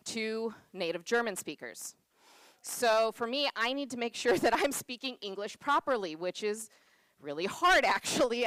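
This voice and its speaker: Chatty American woman presenter, crisp voice. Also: on the high side, fairly bright tone, no room sound, poor audio. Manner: chatty, self-deprecating, lots of fillers. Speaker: conference presenter. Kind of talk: conference talk. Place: conference room.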